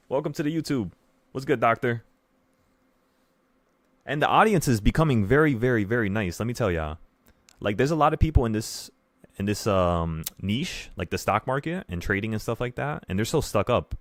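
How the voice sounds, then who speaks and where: A man's voice: low voice, no room sound. A man in his twenties hosting a livestream, talking casually to viewers in an earnest, rambling way with repeated phrases for emphasis. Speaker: livestream host, options trading teacher.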